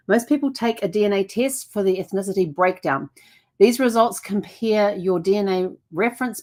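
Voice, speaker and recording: A woman's voice: mid-range voice, darkish tone. A woman hosting a genealogy show, explaining things casually with frequent ums, restarts and self-corrections.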